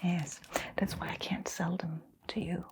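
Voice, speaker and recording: A woman playing a kindly, mysterious keeper of a magical old bookshop in a hushed, soothing ASMR storytelling roleplay, pausing often. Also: lowish voice, flat delivery, slight background noise, close to an everyday voice. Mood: secretive, gentle. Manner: slow, hushed, soothing, with long pauses.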